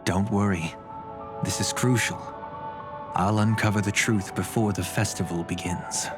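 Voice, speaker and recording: An actor playing a composed, protective older brother in a game cutscene, speaking in a measured, calm and firm way. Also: male voice, on the low side, lightly acted. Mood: calm resolve. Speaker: game character. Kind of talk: voiced game cutscene dialogue. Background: game music.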